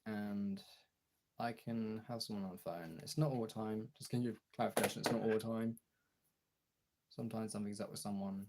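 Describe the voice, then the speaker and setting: A young man streamer talking casually and frankly in a gravelly, husky voice, halting at times and swearing freely. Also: fairly low pitch, fairly even intonation, a little mellow, slight background noise. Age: young adult. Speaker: streamer and voice actor chatting to followers. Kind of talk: casual candid chat.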